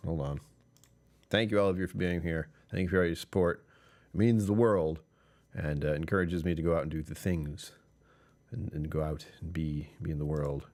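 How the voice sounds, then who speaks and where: Tired, very low-voiced man rambling. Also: a little mellow. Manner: rambling, chuckling, talking to chat. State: tired, voice lower than usual. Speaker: livestream host. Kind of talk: casual live stream chat.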